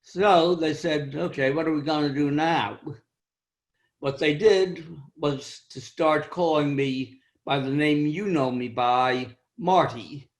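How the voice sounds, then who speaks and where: An older man with a high-pitched voice tells a wry, self-deprecating story about his own life in a club speech over a video call. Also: slow. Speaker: club speaker.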